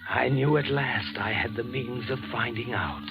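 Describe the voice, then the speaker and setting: An actor playing a haunted, suspicious young son in an intense, melodramatic 1940s radio thriller style. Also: male voice, mid-range voice, measured pace, muffled recording, close to an everyday voice. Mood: brooding. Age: young adult. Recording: old 1940s radio recording, music behind.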